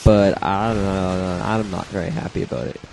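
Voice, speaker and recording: A young man, slightly hoarse from a congested throat, rambling casually through his own match footage with many fillers and self-deprecating asides. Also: low voice, fairly even intonation, moderate pace, a little mellow, a little hiss or hum. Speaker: gameplay commentator. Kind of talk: casual gameplay commentary.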